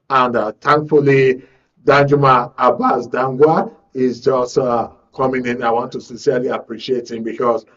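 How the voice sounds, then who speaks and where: A man with a Nigerian accent hosting a current affairs radio show, speaking deliberately and repeating phrases for emphasis. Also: mid-range voice, fairly bright tone. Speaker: radio talk show host. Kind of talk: live current affairs commentary. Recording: dull, lo-fi broadcast audio.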